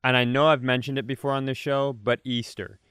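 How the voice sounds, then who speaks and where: Clear, dry-sounding American male co-host. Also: medium pitch, fairly even intonation, a little fast, fairly bright tone, dry recording. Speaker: podcast co-host. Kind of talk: casual comedic chat.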